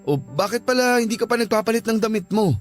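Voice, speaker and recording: A man's voice: high-pitched, animated, quick pace, faint music behind. A man with a Filipino accent playing a tired, defensive husband and father in a radio drama. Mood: placating.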